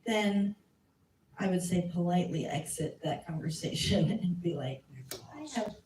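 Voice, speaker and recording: A woman's voice: on the low side, a little slow, darkish tone. Candid American woman speaking in an echoey hall. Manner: candid, self-deprecating, chatty storyteller. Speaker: civics education speaker. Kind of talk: casual Q&A at a community talk. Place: community meeting room. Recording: echoey room from a distance, faint noise.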